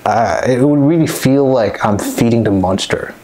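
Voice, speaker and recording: A man in his thirties from Congo, a native French speaker, giving an earnest, measured vlog monologue into a lapel mic in a small dim room at home. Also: mid-range voice, darkish tone, poor audio. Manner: measured, earnest, reflective delivery. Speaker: vlogger. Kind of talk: scripted personal vlog monologue. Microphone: clip-on lapel mic.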